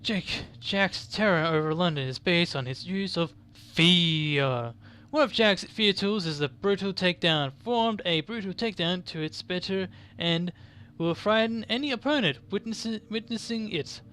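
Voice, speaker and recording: A man's voice: sits high, moderate pace, bright, forceful tone, clear, resonant tone. A man giving casual commentary as he plays a video game. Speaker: gamer, video host.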